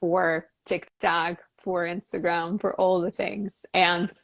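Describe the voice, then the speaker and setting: A woman's voice: lowish voice, level tone, takes it slowly, creaky, no room sound. A woman hosting a casual live chat about astrology, talking easily with lots of filler words. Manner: chatty, frequent "like" fillers. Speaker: astrologer and channel host.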